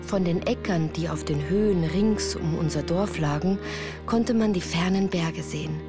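An actress playing a woman who recalls her village childhood, narrating in measured, reflective literary prose for a radio play. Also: lowish voice, natural voice. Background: music.

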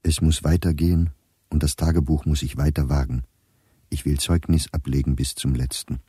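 A man's voice: extremely low pitch, steady tone, normal speed, dark tone, husky, heavily acted. An actor playing a weary, determined middle-aged male diarist in a radio play, reading in a sober, inward way. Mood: determined, subdued.

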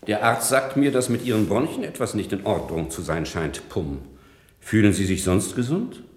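An authoritarian prison director in an old radio drama. His low voice rises and falls dramatically as he condescends with official emphasis. The room around him rings with echo. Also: big pitch swings, moderate pace. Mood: officious. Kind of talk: 1960s German radio play acting. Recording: echoey 1960s radio play studio.

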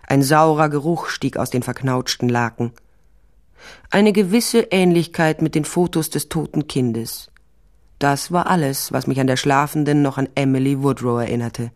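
An actress playing a determined, wry private investigator, narrating a crime drama in the first person, dry and matter-of-fact. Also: female voice, very deep voice, normal speed, darkish tone. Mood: tense, matter-of-fact. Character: resolute, wry female private investigator narrating her case.

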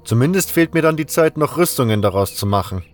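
A man voicing a calm, reserved armorer and hunter in a fantasy audio drama, unhurried and matter-of-fact, with music behind him. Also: medium pitch, pitched above the performer's own voice, lightly acted. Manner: unhurried, matter-of-fact, talkative once engaged.

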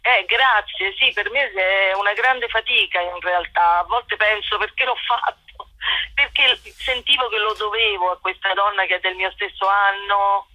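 A woman, an actress and playwright, giving a spontaneous interview in a halting, self-correcting way over a telephone. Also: medium pitch, fairly even intonation, bright, forceful tone, no room sound. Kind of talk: spontaneous radio interview about own show. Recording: dull telephone line.

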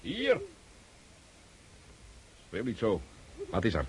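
An actor in a radio drama playing a curt, sceptical Cossack count and cavalry officer. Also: male voice, fairly low pitch, wildly swooping pitch, slow, slightly rough, heavily acted. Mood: brusque, sceptical. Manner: curt, questioning.

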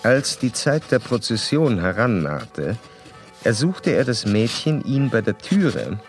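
An actor playing a composed, probing doctor in a radio play, reporting formally and clinically. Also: male voice, mid-range voice, sing-song, takes it slowly, faint music behind. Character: composed, probing male doctor narrating a case study.

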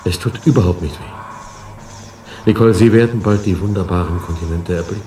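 Man acting an echoey, mellow old scientist. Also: deep voice, natural voice. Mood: soothing. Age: older. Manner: soothing, unhurried. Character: elderly male scientist, soothing, wistful, quietly eerie. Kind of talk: radio play acting. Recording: echoey room with background hum.

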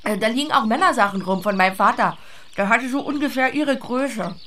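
A woman's voice: on the high side, a little fast, fairly bright tone, close to an everyday voice. Actress as a blunt, earthy farm woman, faint noise. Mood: evasive, practical. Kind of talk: audio drama acting with inner monologue.

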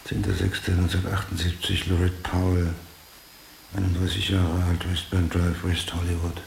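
Low, gravelly obsessive police detective. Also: male voice, monotone, normal speed. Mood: brooding. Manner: clipped, muttering, drifting into whispers. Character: obsessive, brooding male police detective hunting a serial killer. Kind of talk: German radio drama acting, interior monologue. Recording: roomy, faint hiss.

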